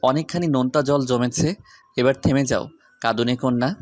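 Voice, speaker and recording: A man's voice: medium pitch, moderate pace, darkish tone, dry recording, soft background music, close to an everyday voice. A man playing a stern, teasing young university teacher in an audio romance, with a grave, curt, commanding manner. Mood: teasing, irritated. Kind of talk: acted dialogue in an audio romance story.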